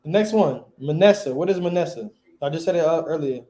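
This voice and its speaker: A man's voice: a little high, expressive, a little fast, mellow, roomy sound. A man teaching a class, quizzing his students and repeating key words.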